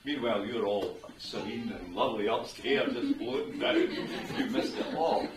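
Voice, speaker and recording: A man's voice: mid-range voice, animated, normal speed. A middle-aged Scottish man officiating a wedding ceremony, chatty and joking with his guests. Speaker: wedding minister. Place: wedding venue indoors. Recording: roomy, distant mic with venue noise.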